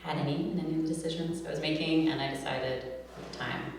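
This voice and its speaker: A woman's voice: sits low, steady tone, fast. Echoey, distant American woman reading poetry. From New York City, USA. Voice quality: nasal. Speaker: poet reading own work. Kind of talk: live poetry reading with casual introductions between poems. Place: reverberant room at a live reading event. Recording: echoey hall, distant mic, humming noise.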